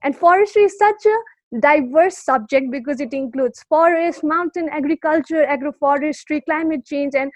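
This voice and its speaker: A young woman in her early twenties with a Nepali accent, speaking earnestly and softly as a podcast guest, often pausing to search for words. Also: sits high, no room sound. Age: young adult. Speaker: guest, youth climate activist. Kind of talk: podcast interview.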